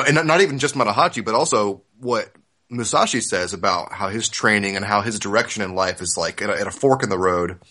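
A man's voice: on the low side, pushed and bright. A man co-hosting a podcast, talking casually and analytically with emphasis as he discusses a series. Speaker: podcast co-host.

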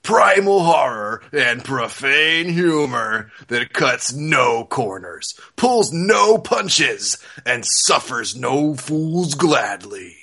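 Man putting on a slow, bombastic dramatic blurb reader. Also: medium pitch, very animated, bright, forceful tone, slightly rough, full character voice. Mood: bombastic, grandiose. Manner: slow, emphatic, portentous. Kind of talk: dramatic reading.

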